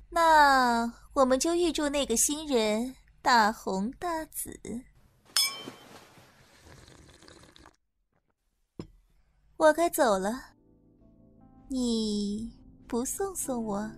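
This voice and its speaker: A woman's voice: sits high, lively intonation, takes it slowly, faint music behind. A woman acting in an audio drama as a shrewd, self-assured, teasing confidante to a star. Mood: playful.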